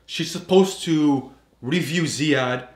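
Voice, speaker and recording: A man's voice: on the high side, slow, roomy sound. A man co-hosting a reaction show, chiming in with emphatic agreement. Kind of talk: casual reaction commentary.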